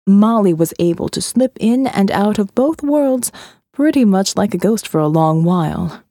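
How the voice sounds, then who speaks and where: A woman reading an audio novel voices a condescending male captor who talks slowly and patronizingly. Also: medium pitch, animated, mellow, dry recording, clean studio sound, lightly acted. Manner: slow, drawling, patronizing. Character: smooth condescending male captor, middle-aged.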